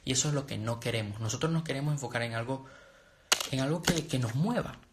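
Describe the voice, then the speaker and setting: A man's voice: medium pitch, fast. A young man from Venezuela giving an earnest, rambling motivational talk to viewers, full of restarts and fillers. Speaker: personal development and trading coach. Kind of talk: casual live-stream talk to viewers.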